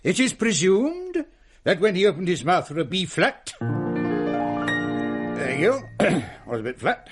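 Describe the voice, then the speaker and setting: A man's voice: high-pitched, sing-song, unhurried, long pauses, loud music behind the voice. A man playing a pompous, testy middle-aged Victorian English uncle in a radio drama, wordy and easily exasperated. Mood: solemn, self-important. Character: pompous, testy Victorian uncle hosting Christmas ghost stories. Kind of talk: period radio drama acting.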